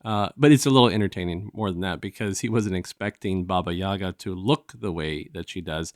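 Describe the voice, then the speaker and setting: A man hosting a review show, casually retelling a story in a halting, conversational way and acting out bits of dialogue. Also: sits low, dry recording, studio quality. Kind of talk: casual discussion and retelling of an audio drama.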